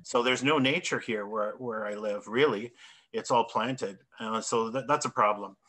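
Middle-aged guy on a video call from his home office, reflective, bright voice. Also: medium pitch, normal speed. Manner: reflective, gestures while talking, self-correcting. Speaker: artist presenter. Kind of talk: thoughtful panel discussion. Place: home office with bookshelves and plants. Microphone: video call webcam.

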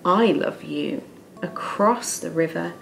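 A big daddy hare from a bedtime storybook, read out by a woman, gentle and loving. The delivery is unhurried, with a creaky edge. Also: fairly low pitch, very noisy. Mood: tender, playful.

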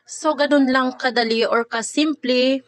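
A woman's voice: high-pitched, steady tone, a little slow, no room sound. A young adult woman with a Filipino accent, giving a casual step-by-step tutorial voice-over and addressing viewers informally.

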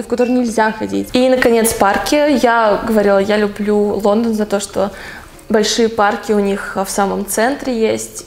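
A woman's voice: mid-range voice, vocal fry. A young woman answering viewer questions in a casual, chatty vlog, thinking aloud with frequent hesitations. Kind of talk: casual talking-head Q&A.

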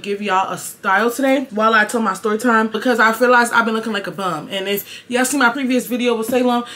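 A nineteen-year-old woman telling a casual storytime vlog in her bedroom, animated and slangy, jumping from loud bursts to low muttered asides. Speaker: vlogger. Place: small bedroom, indoors.

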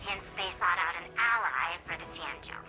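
An actress playing a composed, high-ranking seer in a game, measured, formal and assured. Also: female voice, expressive, close to an everyday voice, low-quality recording. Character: composed, knowing female seer of high rank. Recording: game music behind.

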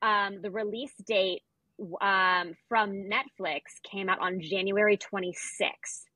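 A woman's voice: medium pitch, fairly even intonation, takes it slowly, pushed and bright, no room sound, low-quality recording. A woman in her thirties co-hosting a podcast, talking through sports statistics in a casual, wry, conversational way. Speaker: podcast co-host. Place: indoor room, video call.

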